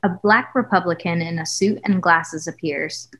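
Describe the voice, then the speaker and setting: A woman's voice: fairly low pitch, normal speed, a bit bright. A woman reading screenplay stage directions as scripted narration.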